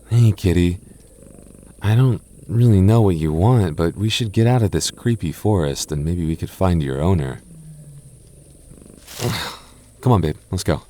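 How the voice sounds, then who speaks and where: A young man in a close-mic boyfriend roleplay, talking softly with halting restarts and light jokes. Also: sits low. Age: young adult. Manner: soft-spoken, halting, jokey and reassuring; slow measured reading of a rhyme.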